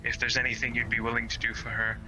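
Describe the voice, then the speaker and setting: A man's voice: medium pitch, completely flat delivery, fast, low-quality recording. A man playing a tabletop game, casually slipping in and out of character. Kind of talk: casual role-play, speaking in and out of character. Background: music.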